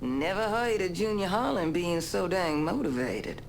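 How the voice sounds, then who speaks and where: An actress playing a brash, salty American street informant in a video game, with a gravelly voice and a folksy, sardonic way of talking. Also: female voice, on the low side, lively intonation, a little slow, a little hiss or hum. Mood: sardonic, spiteful. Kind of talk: video game cutscene acting.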